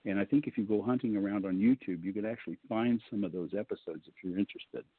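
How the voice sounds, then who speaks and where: Male guest with a deep baritone voice, loose and chatty, heard over a thin phone line. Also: fast, breathy edge, no room sound. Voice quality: low baritone voice. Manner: rambling, joking, many fillers. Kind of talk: casual storytelling on a call-in show.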